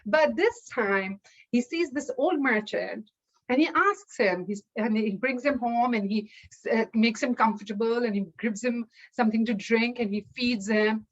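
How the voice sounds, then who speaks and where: Female folktale storyteller, very unhurried and deliberate, higher register, dull lo-fi sound. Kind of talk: oral folktale telling.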